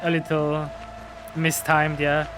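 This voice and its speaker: A man's voice: a little high, monotone, takes it slowly. A man casually commenting while playing a game, chatty and musing aloud. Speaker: streamer. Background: game music.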